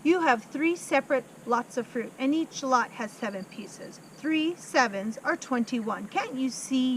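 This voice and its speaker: A woman voicing a huge, brutal headmistress who bellows slow, heavy insults at a child in a storybook reading. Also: high voice, slight background noise, rough recording.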